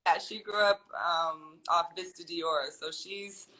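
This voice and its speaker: A woman from California is a guest in a relaxed interview. Her voice is bright, and she speaks thoughtfully. She rambles a little and gathers her thoughts as she goes. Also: fairly low pitch, slow, low-quality recording. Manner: thoughtful, rambling, many ums and restarts. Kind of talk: casual interview chat.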